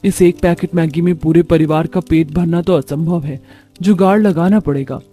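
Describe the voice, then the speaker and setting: A man's voice: a little high, fairly even intonation, normal speed, dark tone, soft background music, natural voice. A man voicing a humble, hardworking young daughter-in-law who speaks sweetly and politely in a moral story. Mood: reassuring, humble. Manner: polite, deferential.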